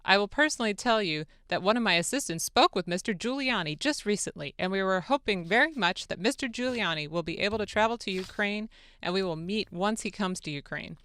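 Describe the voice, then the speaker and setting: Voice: medium pitch, animated, brisk, sharp tone, smooth, no room sound. A radio producer doing a dramatic reading as an eager, deferential male foreign president, with emphatic, earnest delivery. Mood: earnest assurance. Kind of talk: dramatic reading of a phone-call transcript.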